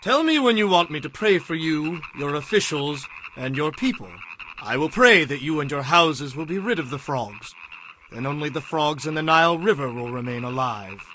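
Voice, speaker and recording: An actor playing a firm, solemn Hebrew prophet in a dramatized audio Bible, speaking in earnest declarations. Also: male voice, on the high side, expressive, moderate pace, pushed and bright, slight background noise, rough recording. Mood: firm, assured.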